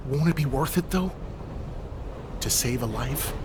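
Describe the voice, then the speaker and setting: A man's voice: medium pitch, lively intonation, a little slow, close to an everyday voice. An actor playing a bitter, weary young man in an audio drama, sarcastic, confrontational and brooding. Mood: bitter sarcasm. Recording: noisy subway train ambience.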